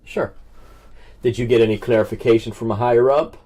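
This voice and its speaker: A man's voice: medium pitch, steady tone, fairly quick, mellow. A man hosting a casual livestream and talking on the phone, speaking bluntly and colloquially and often repeating his phrases. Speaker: livestream host making records-request phone calls.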